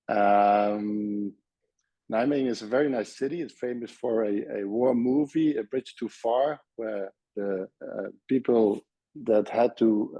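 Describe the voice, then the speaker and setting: A man's voice: lowish voice, warm tone, no echo, poor audio. A Dutch-accented man from the Netherlands, a surgeon guest in a casual interview, speaking friendly English with frequent restarts.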